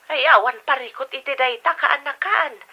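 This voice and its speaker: Through a dull telephone filter, a woman plays a middle-aged, reassuring aunt in an Ilocano-accented radio drama. Her voice is fairly high and kindly. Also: normal speed, slight background noise. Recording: dull band-limited phone effect.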